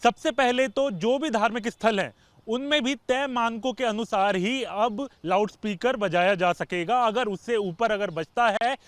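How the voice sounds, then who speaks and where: A male TV reporter with a high, clear voice. He explains the news step by step, often circling back over his phrasing. The sound is dry and close. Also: unhurried, a bit bright, no echo.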